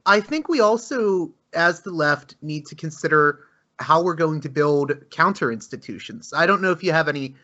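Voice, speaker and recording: Bright-voiced host, hesitant and stammering. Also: male voice, fairly high pitch, expressive, moderate pace. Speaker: podcast host. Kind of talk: interview questions. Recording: dull lo-fi audio.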